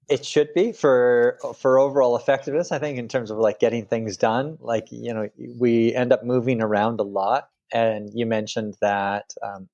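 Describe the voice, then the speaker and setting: A middle-aged man from Canada speaking as a guest author, relaxed and rambling, often restarting his phrases. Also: medium pitch. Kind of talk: interview conversation.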